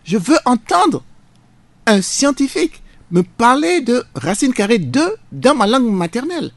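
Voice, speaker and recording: A man's voice: extremely high pitch, sing-song, a little slow, dry recording. A man, a jurist guest on a radio debate, arguing emphatically with a preacherly cadence. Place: radio studio.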